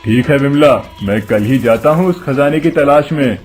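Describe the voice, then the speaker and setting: An actor playing a greedy, excitable woodcutter in a cartoon story, talking loudly and scheming. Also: male voice, mid-range voice, normal speed, soft background music, close to an everyday voice. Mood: greedy excited.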